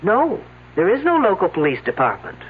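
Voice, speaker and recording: A brisk lady detective who fires off commanding questions, her voice swinging widely in pitch. The tone is husky, and it comes through a tinny old radio broadcast. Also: wildly swooping pitch, heavily acted. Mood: exasperated. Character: sharp, impatient woman detective. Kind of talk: 1970s radio drama acting.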